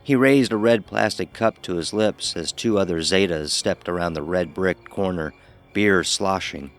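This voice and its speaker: An actor playing a young college pledge, narrating a first-person horror story in a wry, conversational way. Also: male voice, deep voice, normal speed. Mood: descriptive, wry. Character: young male college pledge recounting his hazing.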